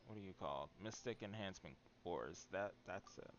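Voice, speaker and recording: A man's voice: deep voice, animated, brisk, muffled recording, slight background noise. A man doing casual livestream game commentary, thinking aloud in an anxious, candid way. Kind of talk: casual gameplay commentary to stream viewers.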